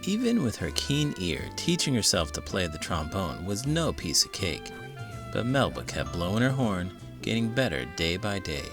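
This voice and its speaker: A man reading a children's storybook aloud in an expressive, theatrical narrating style. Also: low-pitched, moderate pace, music in the background.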